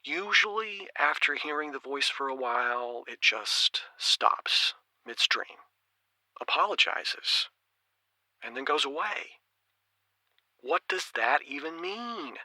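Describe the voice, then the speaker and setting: A slow-talking, troubled male caller to a radio show. His voice is strikingly sharp and very dry, and he sounds hesitant and bewildered, in audio drama style. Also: fairly high pitch, animated, dry recording, heavily acted, rough recording. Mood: uneasy, bewildered.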